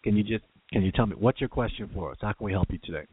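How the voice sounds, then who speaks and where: Low-voiced man hosting a call-in show. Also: slightly rough, phone-quality sound. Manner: brisk, steers callers against the clock. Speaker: radio show host.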